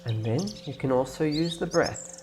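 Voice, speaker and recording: A man leading a guided meditation in a gentle, instructing way, leaving long pauses between phrases. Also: mid-range voice, noisy background. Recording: clear birdsong and nature ambience behind. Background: birdsong, nature ambience.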